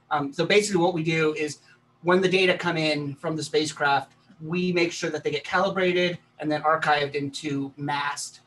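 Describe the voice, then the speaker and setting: Guest presenter, man from Texas, hesitant and full of ums, higher voice, distant mic on a video call with light background hiss. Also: fairly bright tone. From Texas, USA. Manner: halting, many ums and restarts. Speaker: guest presenter, planetary scientist. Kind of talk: casual chat then slide presentation.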